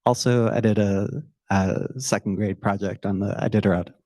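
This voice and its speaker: A man's voice: lowish voice, fairly even intonation, moderate pace, heavy background noise. A young man who grew up in the Middle East introducing himself earnestly as a law clerk. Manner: earnest, enthusiastic self-introduction.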